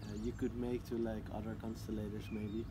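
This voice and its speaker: A man's voice: lowish voice, level tone, brisk, noisy background. A man interviewing a guest, asking his questions hesitantly with many fillers.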